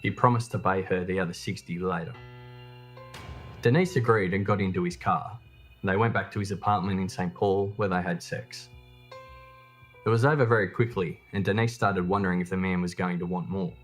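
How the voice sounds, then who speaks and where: Low-pitched man narrating true crime over music. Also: steady tone, a little fast.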